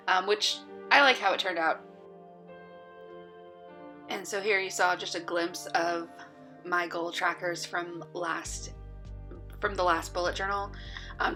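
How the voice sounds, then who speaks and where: A woman talking casually through her journal pages in a relaxed voice-over, with plenty of ums and uhs and a voice that is going hoarse. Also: fairly low pitch. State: losing her voice. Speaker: bullet journal vlogger.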